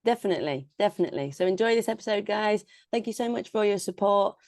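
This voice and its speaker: A woman's voice: medium pitch, lively intonation, quick pace, darkish tone, dry recording, clean studio sound. A British woman co-hosting a podcast in a casual, conversational style. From United Kingdom. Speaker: podcast co-host. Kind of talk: casual chat.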